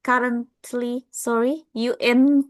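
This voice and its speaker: A woman with an Indonesian accent reading a case study aloud in class, careful and unhurried, with small slips. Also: fairly high pitch, little pitch movement.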